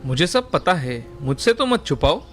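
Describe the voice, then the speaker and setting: A man voicing a caring, reassuring young older brother in an animated story. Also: on the high side, moderate pace, faint music behind. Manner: gentle, reassuring. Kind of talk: cartoon story voice acting.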